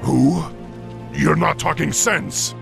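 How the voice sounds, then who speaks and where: An actor voicing a noble, earnest young orc war chief in a video game, with a deep gravelly voice and commanding manner. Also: male voice, slow, music in the background, exaggerated voice. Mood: urgent.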